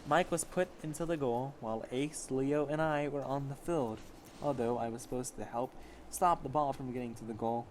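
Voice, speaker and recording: A man's voice: medium pitch, moderate pace, a little hiss or hum. A man casually reading fan fiction aloud as an amateur story reader, stumbling over the text and correcting himself.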